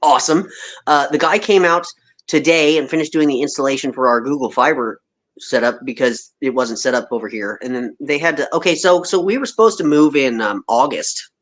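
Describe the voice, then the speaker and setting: Animated man ranting casually. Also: mid-range voice, brisk. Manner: animated, ranting storyteller who mimics others. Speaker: co-host. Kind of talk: casual livestream chat.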